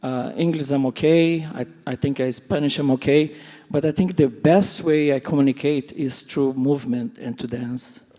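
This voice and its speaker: A man in his sixties whose first language is Portuguese, speaking haltingly and from the heart in a post-show talk. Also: mid-range voice, steady tone, dark tone. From Brazil. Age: 60s. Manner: halting, searching for words, heartfelt. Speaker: choreographer and dancer. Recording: reverberant theatre hall.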